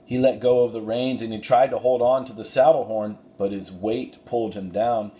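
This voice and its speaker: A man giving an expressive narration of a children's storybook. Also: medium pitch, normal speed. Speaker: story reader. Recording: roomy echo, faint background noise.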